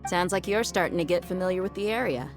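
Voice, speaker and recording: A woman playing a tough, protective desert mercenary in a video game, measured and serious. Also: fairly low pitch, lightly acted. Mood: firm, businesslike. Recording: music playing behind the voice. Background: game background music.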